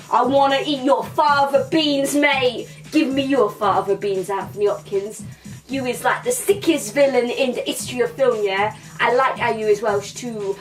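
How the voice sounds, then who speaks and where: A young woman doing a comic monologue in a slangy, swaggering tough-kid persona, filmed in a small bedroom from a camera a few metres away. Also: high-pitched. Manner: slangy put-on tough-kid swagger, sing-song chants. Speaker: comedy vlogger. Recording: distant mic in a small room.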